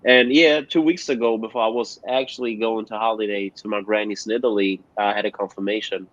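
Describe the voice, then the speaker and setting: A man from Germany, a guest musician answering interview questions haltingly with many fillers over a remote call. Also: fairly low pitch, steady tone, fairly bright tone, no room sound. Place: at home in Germany, joining remotely. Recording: dull, dry remote call audio.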